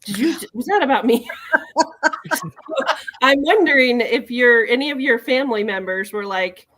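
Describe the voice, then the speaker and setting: A woman's voice: fairly high pitch, lively intonation, a little slow, fairly bright tone, a little hiss or hum. A woman from Colorado talking casually as an author and book club host. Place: live online video chat.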